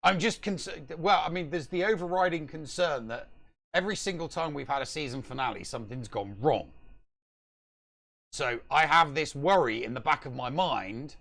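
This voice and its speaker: A man's voice: fairly high pitch, normal speed, fairly bright tone. A middle-aged man co-hosting a podcast, chatting casually on a live show from a room at home. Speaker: podcast co-host. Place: cluttered hobby room at home, indoors.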